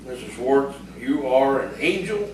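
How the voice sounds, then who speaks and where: A man in an audio theatre play as a swaggering, charming local rowdy, hearty, boastful and full of flattery. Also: medium pitch, a little slow, a little hiss or hum, close to an everyday voice. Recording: very echoey, distant stage recording.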